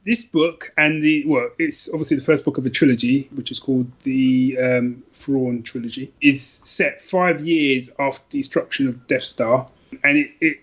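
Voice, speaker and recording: Male podcast guest, mid-to-low pitch, informal book talk, slightly lo-fi sound. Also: steady tone, normal speed. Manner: informal and colloquial. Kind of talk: casual book chat.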